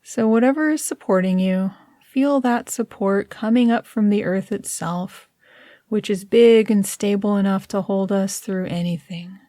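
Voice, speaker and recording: A woman leading a scripted guided meditation in a soothing, measured, instructional manner. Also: medium pitch, mellow, no room sound, studio quality.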